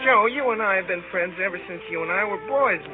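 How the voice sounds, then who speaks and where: A man's voice: sits high, animated, quick pace. An actor playing an awkward, lovesick teenage boy, earnest, whiny and stammering, in 1930s radio drama style. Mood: whiny pleading. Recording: tinny 1938 radio recording with music.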